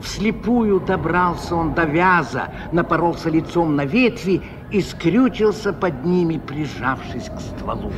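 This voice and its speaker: A man giving measured, expressive literary narration in the style of 1970s Soviet radio drama. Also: fairly high pitch. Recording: dull, faintly noisy old radio recording.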